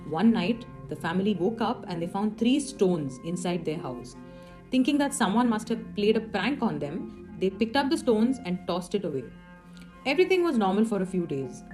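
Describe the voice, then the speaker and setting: A woman's voice: medium pitch, darkish tone. A woman telling eerie stories in a semi-scripted, explanatory way, with rhetorical questions and dramatic emphasis. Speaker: storyteller. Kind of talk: semi-scripted horror storytelling. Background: music under the voice.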